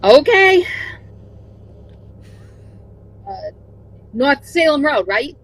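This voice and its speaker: A young woman playing an eager young male driver in a radio mystery reading, blunt and excitable. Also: high-pitched, a little slow, low-quality recording. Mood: reluctant. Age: young adult. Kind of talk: live reading of old-time radio mystery script.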